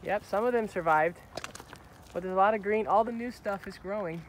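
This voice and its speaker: A man's voice: high-pitched, a little fast. An American man in his thirties chatting and narrating casually as a playful, encouraging father on a family outing, recorded on a handheld phone. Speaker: father filming a family hike. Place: outdoors on a forest trail. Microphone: handheld phone camera. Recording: noisy outdoor phone recording.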